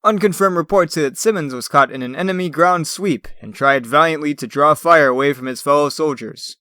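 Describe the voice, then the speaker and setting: A man's voice: a little high, fairly quick, bright, forceful tone, no echo, studio quality. A man playing an earnest TV newscaster in a comic dub, delivering a formal, solemn and reverent scripted report.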